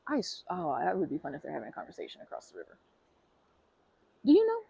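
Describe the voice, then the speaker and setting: A woman's voice: on the low side, huge pitch swings, fast, gravelly, breathy edge. A woman in an ASMR roleplay who talks to the listener in a rambling, bashful and playful way, full of fillers and restarts. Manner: playful and earnest, laughing softly.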